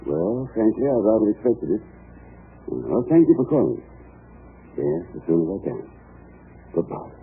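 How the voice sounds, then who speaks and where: Witty professor type, middle-aged, slightly husky, swoopy delivery, old 1950s radio drama. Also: male voice, fairly low pitch, very animated, full character voice. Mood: resigned. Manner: wry, eloquent, measured formal phrasing. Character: witty, urbane middle-aged male college president, affectionate husband. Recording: muffled vintage 1950 radio broadcast.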